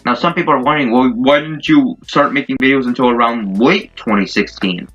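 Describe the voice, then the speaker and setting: A man's voice: mid-range voice, brisk, fairly bright tone, breathy edge. A young man telling a casual, rambling, informal story as a YouTuber. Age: young adult. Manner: rambling, informal, mildly profane. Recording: dull lo-fi mic. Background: background music.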